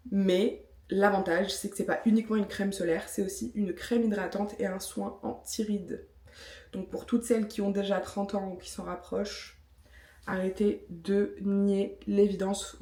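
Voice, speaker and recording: A chatty woman in her thirties from France, talking straight to camera with lots of little fillers and playful self-mockery. Her voice sits at an ordinary middle pitch. The room around her rings with a noticeable echo. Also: a little mellow. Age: 30s. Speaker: vlogger. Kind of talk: casual vlog talk with product review. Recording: echoey room.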